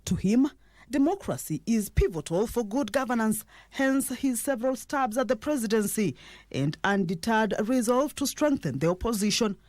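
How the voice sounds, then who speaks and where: A woman's voice: on the high side, lively intonation, moderate pace, darkish tone, dry recording. A woman with a Kenyan accent narrating a scripted television news report.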